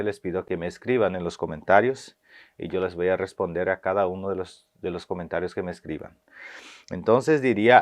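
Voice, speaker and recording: A man, giving a video language lesson and slowly repeating phrases with hesitant fillers. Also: low voice. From Paraguay. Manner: slow, careful repetition of phrases, with hesitant fillers. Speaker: language teacher.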